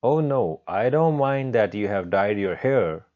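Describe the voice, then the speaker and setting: A man voicing an overbearing, indignant woman schoolteacher who scolds at length in a shrill, wordy storytelling performance. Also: expressive, measured pace. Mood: indignant scolding. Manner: scolding, verbose, shrill and exclamatory. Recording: dull, muffled lo-fi sound.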